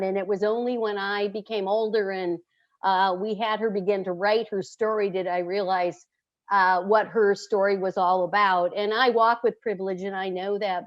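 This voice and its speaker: A woman on a panel speaks reflectively about personal matters. The recording sounds dull and lo-fi. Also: medium pitch. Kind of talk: panel discussion.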